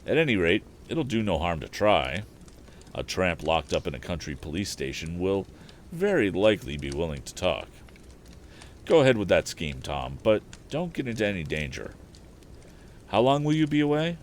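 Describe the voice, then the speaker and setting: A man voicing a kindly, cautious older inventor father in a storybook, measured and advising. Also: sits low, sing-song, slight background noise. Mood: approving, cautioning. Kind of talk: acted audiobook dialogue.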